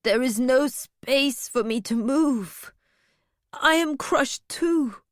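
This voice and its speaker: Sickly, whining big man near hysteria, voiced by a woman, high and slow, audiobook character. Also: no echo, studio quality. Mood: plaintive. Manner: whimpering, plaintive.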